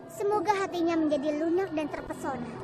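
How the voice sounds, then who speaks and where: Bossy cartoon queen, super high pitched, scolds ppl, loud music under it. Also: fairly quick. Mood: commanding. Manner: imperious, scolding. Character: proud commanding young queen. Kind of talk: cartoon voice acting.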